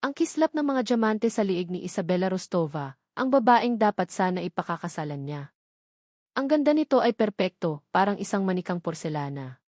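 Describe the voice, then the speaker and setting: A woman's voice: medium pitch, big pitch swings, moderate pace, a little mellow, dry recording. Filipino woman narrating a dramatic story, dry sound.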